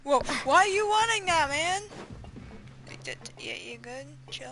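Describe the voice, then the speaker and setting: A woman's voice: very high voice, expressive, a little slow, a bit bright, slight background noise. A woman giving casual, slangy gameplay commentary as a streamer, chatting and reacting to the game. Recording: game music behind.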